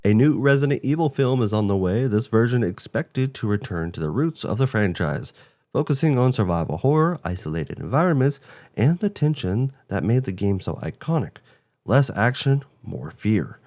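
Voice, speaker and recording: A man's voice: lowish voice, lively intonation, moderate pace, darkish tone. A man co-hosting a video game show, reading a scripted presentation. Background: background music.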